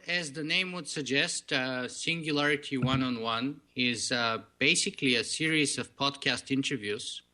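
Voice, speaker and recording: A man with a thick Bulgarian accent and Bulgarian as his first language, a podcast guest telling his story in an earnest, measured way. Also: medium pitch, sharp tone.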